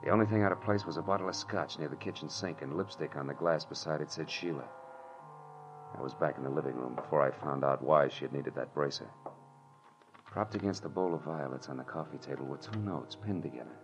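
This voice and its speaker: A man's voice: extremely low pitch, a little fast. An American man playing a world-weary, wry private detective who narrates his case in clipped 1940s radio drama style. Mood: terse, wry. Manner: clipped, wry, hardboiled delivery. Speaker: lead actor and narrator. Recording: dull old radio drama recording, music.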